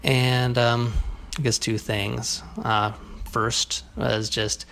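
A man speaks casually and earnestly, as if leaving a voicemail for a show. His delivery is hesitant, his voice gravelly, with faint ambient noise. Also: mid-range voice, level tone, very slow, a bit bright. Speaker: listener calling in with feedback.

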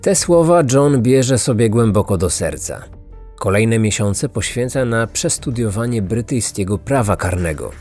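A man's voice: on the low side, lively intonation, unhurried, a little mellow. Male true-crime narrator over music. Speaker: podcast narrator. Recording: music underneath.

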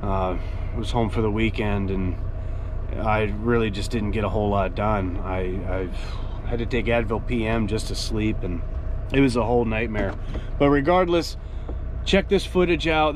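A man who drives a truck vlogs casually from inside his cab, sounding congested with a sore throat and talking in a halting, rambling way. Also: medium pitch. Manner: halting, rambling delivery with many fillers. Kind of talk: casual vlog chat. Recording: clear background noise.

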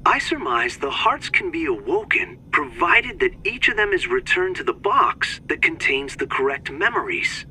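An actor playing an earnest young male researcher, speaking in measured, formal phrases in a video game cutscene. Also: high voice, huge pitch swings, vocal fry, pushed and bright, a little hiss or hum, heavily acted, low-quality recording. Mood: scholarly, intrigued. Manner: measured, precise, formal reading.

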